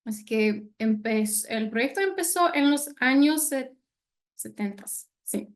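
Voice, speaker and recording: Slow, high-voiced woman lecturing in careful Spanish. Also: lively intonation. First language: not Spanish. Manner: hesitant, many fillers, careful non-native Spanish. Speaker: guest speaker, senior analyst. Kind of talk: online lecture presentation.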